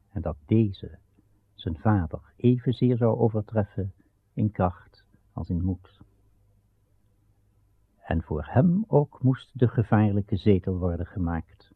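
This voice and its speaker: A man narrating a radio play of old legend in a grave, measured, solemn storytelling style with dramatic pauses. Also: fairly low pitch, breathy edge, no room sound. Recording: muffled, narrow-band old radio recording.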